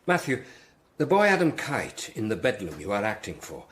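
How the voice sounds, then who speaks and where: An actor playing a grave, devout lord archbishop in a radio drama, speaking with formal authority. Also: male voice, lowish voice, huge pitch swings, moderate pace, a bit bright. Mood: grave, commanding.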